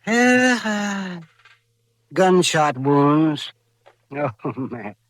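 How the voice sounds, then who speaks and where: An actor playing a wry frontier doctor with a haunted past, in a theatrical, wordy 1950s radio drama style. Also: male voice, a little high, big pitch swings, very slow, exaggerated voice. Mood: wry, animated. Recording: vintage radio recording.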